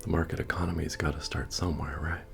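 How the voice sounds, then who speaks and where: A man playing a friendly, humble medieval fantasy innkeeper in a soft-spoken, deep-voiced, understated ASMR roleplay. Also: fairly even intonation, quick pace, vocal fry, exaggerated voice. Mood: easygoing, musing. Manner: hesitant, rambling, understated delivery. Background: bard music.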